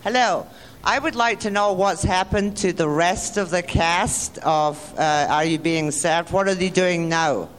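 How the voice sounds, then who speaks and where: A man's voice: high-pitched, measured pace, few pauses, fairly bright tone, clear, resonant tone. A man with a Scottish accent, an audience member politely asking a question, recorded with a long hall echo.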